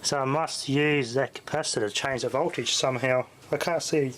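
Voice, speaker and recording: A man's voice: mid-range voice, moderate pace, a bit bright, slight background noise. A man giving casual, off-the-cuff repair commentary as a hobbyist tinkerer, muttering to himself in short fragments as he thinks aloud.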